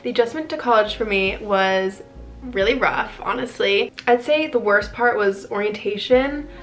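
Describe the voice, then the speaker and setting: A woman's voice: mid-range voice, normal speed, fairly bright tone, soft background music. A young woman from New Jersey talking casually to camera as a vlogger, with lots of "um" and "like". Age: young adult. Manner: chatty, frequent "um" and "like". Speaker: vlogger, college freshman.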